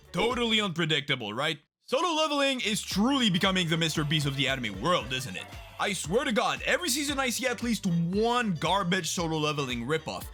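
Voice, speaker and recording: A man's voice: high voice, pushed and bright. A young man hosting a comedic commentary video about the anime season, sarcastic and mock-dramatic. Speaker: YouTube host.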